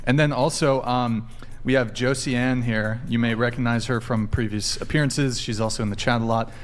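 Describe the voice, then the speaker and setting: A man hosting a casual panel talk show, relaxed and peppering his questions with filler words. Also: mid-range voice, normal speed, a little hiss or hum. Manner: relaxed, lots of "like" and "you know". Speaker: podcast host.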